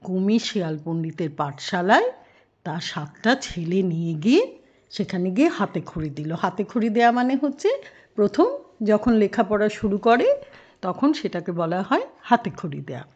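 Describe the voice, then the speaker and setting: A woman's voice: medium pitch, big pitch swings, moderate pace, darkish tone, muffled recording. An older woman telling a story to young children in a grandmotherly way, explaining and asking questions as she goes.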